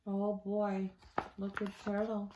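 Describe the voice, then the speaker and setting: A woman teacher reading a storybook aloud to children, expressively, with quiet asides. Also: mid-range voice, monotone, slow pace, noisy background. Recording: echoey room.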